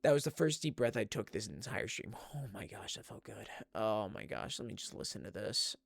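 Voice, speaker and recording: Tired, raspy young streamer guy. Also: on the low side, expressive, a little fast, no room sound, clean studio sound. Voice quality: slightly raspy. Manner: rambling, giggly, reads chat aloud. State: tired, strained throat. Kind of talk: casual chat with stream viewers. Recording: music playing behind voice.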